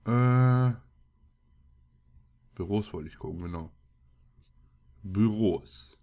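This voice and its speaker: Low-voiced man with a cold. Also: drawn-out words, warm tone. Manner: colloquial, muttering to himself. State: has a cold, scratchy throat. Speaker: let's play host. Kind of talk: casual gameplay commentary.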